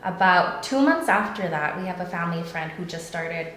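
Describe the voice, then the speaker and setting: A woman's voice: lowish voice, animated, moderate pace, a bit bright. A chatty young woman from the Philippines talks casually as an interview guest. She laughs easily and slips into little voices for quotes. The room around her rings with a strong echo and a faint hiss. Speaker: guest, salon owner. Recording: echoey room, faint noise.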